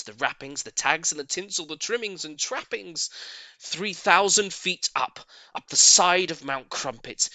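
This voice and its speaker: Casting: male storybook narrator, theatrical and playful, unhurried, hugely animated pitch, bright forceful tone. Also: no echo, rough recording. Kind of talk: dramatic rhyming storybook narration.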